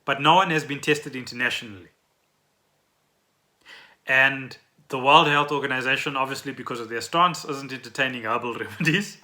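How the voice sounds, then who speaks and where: A man's voice: mid-range voice, normal speed, long pauses, sharp tone. A South African man hosting a podcast, explaining trending news in a conversational way and often checking in with the listener. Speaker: podcast host.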